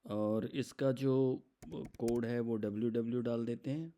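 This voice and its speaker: An Indian man presenting a step-by-step software demo in an explanatory, instructional manner, mixing in English terms. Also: medium pitch, steady tone, measured pace.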